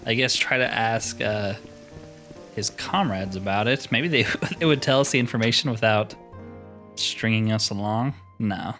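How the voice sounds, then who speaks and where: A man's voice: medium pitch, fairly bright tone. A man giving casual, chatty commentary while playing a roleplaying video game.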